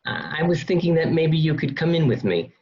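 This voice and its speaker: A man's voice: a little high, sing-song, fairly quick. A man playing a frightened bar owner pleading for help in an amateur radio play. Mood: anxious pleading. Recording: muffled home internet recording.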